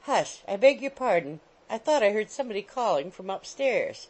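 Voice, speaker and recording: A woman voicing a courteous, genteel gentleman in a storybook, speaking politely. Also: medium pitch, sing-song, moderate pace, low-quality recording. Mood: polite, attentive.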